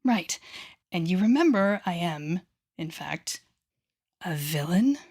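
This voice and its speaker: A woman playing an intense, coaxing female telepathic villain with a secretly tender side in an intimate audio roleplay, speaking softly and often whispering with deliberate dramatic pauses. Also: lowish voice, animated, slightly rough, exaggerated voice. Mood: incredulous, sardonic. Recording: background music under the voice.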